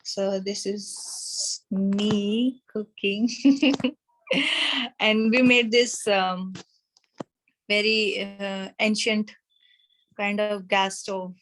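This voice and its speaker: A woman in her thirties with an Indian accent, teaching an informal online class in a halting way, checking in as she goes. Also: medium pitch, fairly even intonation, fairly bright tone, slight background noise, poor audio. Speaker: anatomy teacher at a yoga school. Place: small room with a whiteboard, indoors. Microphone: device camera a short distance away, video call.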